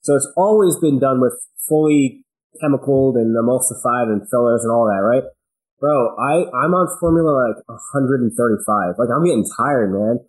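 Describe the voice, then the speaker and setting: A man with a medium-pitched voice speaks as a guest on a podcast. He is casual and slangy, making points emphatically through anecdotes and rhetorical questions. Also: lively intonation, normal speed, poor audio. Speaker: podcast guest, business owner. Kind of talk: casual chat, telling anecdotes.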